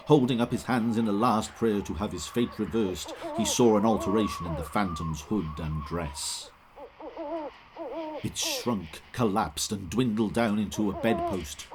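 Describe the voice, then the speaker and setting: A man narrating an audio drama of a literary classic, expressive and measured in his storytelling. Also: on the low side. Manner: expressive, measured, old-fashioned literary phrasing. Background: music.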